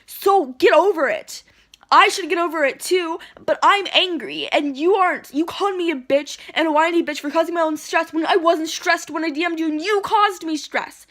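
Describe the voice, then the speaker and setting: Lady with a high voice venting into her phone at home, heated and rambly. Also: normal speed, a bit bright. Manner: ranting, indignant, rambling with restarts. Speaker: vlogger. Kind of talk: heated rant to camera. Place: at home, indoors.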